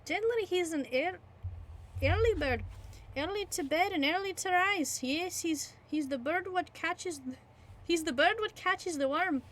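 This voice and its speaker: A woman's voice: extremely high pitch, unhurried, a bit bright, pitched above the performer's own voice. A woman voicing a sly, chuckling older male innkeeper in a put-on British accent. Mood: teasing. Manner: jovial, teasing.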